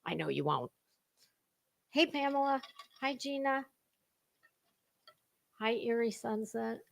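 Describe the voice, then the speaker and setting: Theatrical sassy woman with very lively pitch. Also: slow, vocal fry. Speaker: host of a gossip commentary livestream. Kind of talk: dramatic reading with sarcastic running commentary.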